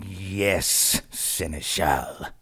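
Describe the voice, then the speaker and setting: A menacing male villain, arrogant and ominous in pulp radio-drama style. His voice sits fairly low and he speaks very slowly, with smug, measured weight. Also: pushed and bright, slightly rough, heavily acted. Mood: defensive. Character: arrogant, menacing male henchman plotting against humans.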